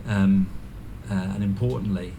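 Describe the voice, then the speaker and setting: A man from North Wales with a Welsh accent, giving an earnest speech as a guest at a community ceremony, often pausing with ums and restarting his sentences. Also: low voice, fairly even intonation, creaky, warm tone, a little hiss or hum. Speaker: guest speaker. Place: community event venue.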